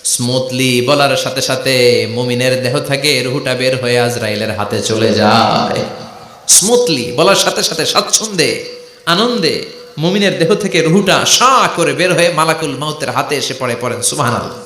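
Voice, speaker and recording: Guy preaching an islamic sermon, bright forceful voice, chanty rise and fall, lots of echo. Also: mid-range voice, normal speed, slight background noise. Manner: declamatory, rising and falling cadence, calls for audience responses. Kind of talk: fervent Bengali-style waz sermon with chanted Arabic quotations. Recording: echoing loudspeaker sermon sound.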